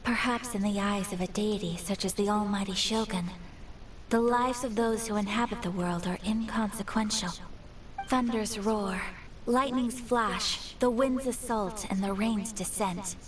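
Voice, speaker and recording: A woman playing a gracious, earnest young noblewoman in a game, speaking in a formal, measured and refined way. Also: medium pitch, fairly even intonation, recorded from a distance, slight background noise, natural voice. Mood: grave, earnest. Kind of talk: scripted game dialogue.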